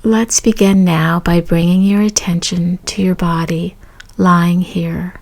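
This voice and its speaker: Slow, creaky-voiced woman guiding meditation. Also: on the low side, level tone. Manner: gentle, measured phrasing with pauses. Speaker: meditation teacher. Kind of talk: guided meditation narration.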